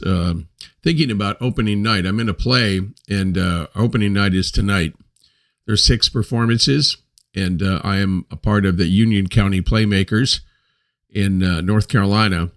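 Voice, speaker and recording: An older man in his seventies speaks in a fairly low voice as he hosts his own reflective monologue. He talks slowly and thoughtfully, pausing often to find his words. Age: 70s. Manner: unhurried, hesitant with frequent pauses and fillers. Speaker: host.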